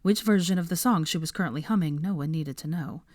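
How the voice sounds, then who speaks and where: A woman's voice: on the low side, quick pace, a little mellow, no room sound, clean studio sound. A woman narrating fiction in a scripted, audiobook-style reading.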